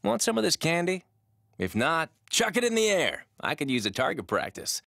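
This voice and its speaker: A woman's voice: low voice, very animated, normal speed, gravelly, fairly bright tone, no echo, clean studio sound, full character voice. A woman voicing a confident, adventurous young female game character. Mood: breezy, playful.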